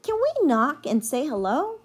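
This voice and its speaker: A woman reading a storybook as an excited, curious young girl who exclaims eagerly. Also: high-pitched, unhurried, soft background music, voice pushed up. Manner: exclaiming, wide-eyed and eager.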